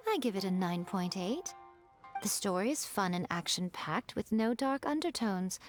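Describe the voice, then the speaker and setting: A woman's voice: mid-range voice, expressive, moderate pace, close to an everyday voice. A woman voicing a sly, elegant fox-spirit lady in a video game, self-assured and teasing, with a poised, condescending way of talking. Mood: confident. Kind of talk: video game character voice acting. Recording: background music.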